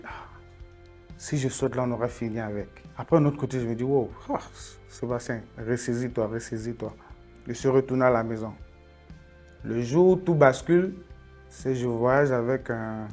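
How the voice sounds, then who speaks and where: A young man tells his story in an earnest, reflective way. His voice sits at a middling pitch and often sinks to a quiet, inward tone. Soft music plays faintly behind him. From Cameroon. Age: 20s. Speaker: testimony speaker. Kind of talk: personal faith testimony.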